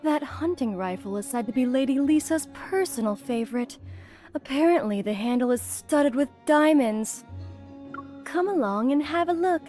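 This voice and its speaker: A genteel high-society lady with a very high voice , played by a woman. She is polite and gossipy, in the manner of an audio drama banquet guest. Music sits behind her. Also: expressive, moderate pace, dark tone. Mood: gossipy, inviting.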